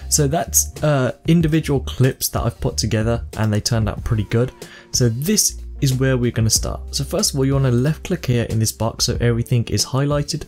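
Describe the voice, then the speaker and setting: A man's voice: mid-range voice, a little fast, a little mellow. Young man narrating a tutorial over music. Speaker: video tutorial host. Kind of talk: step-by-step software tutorial narration.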